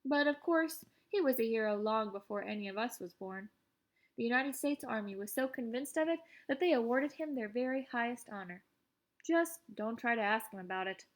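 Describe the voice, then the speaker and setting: A woman reading aloud as an excitable, boastful, self-deprecating teenage schoolboy who tells his story in an animated, wry, conversational way. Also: on the high side, normal speed, natural voice. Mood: earnest. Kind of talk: first-person audiobook narration.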